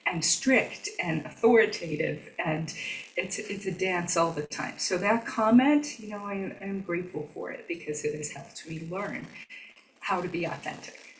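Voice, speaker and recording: A woman guest speaking softly and conversationally, reflecting on her own experience. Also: lowish voice, measured pace, reverberant, far from the mic, a little hiss or hum, poor audio. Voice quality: soft voice that does not carry. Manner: conversational, reflective, soft-spoken. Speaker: podcast guest. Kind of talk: conversational interview.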